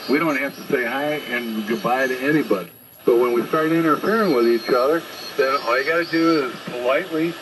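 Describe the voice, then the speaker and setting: A man speaking bluntly and confrontationally as an amateur radio operator, lecturing others in an unscripted exchange over shortwave radio. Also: mid-range voice. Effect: shortwave single-sideband radio transmission. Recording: very noisy ham radio reception.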